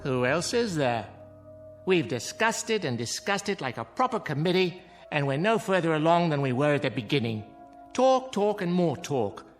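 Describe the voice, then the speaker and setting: Casting: world-weary mocking clown for radio play; grand, wordy, lightish pitch, very lively swings. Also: male voice, moderate pace, faint music behind. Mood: wry, resigned. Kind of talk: radio drama acting.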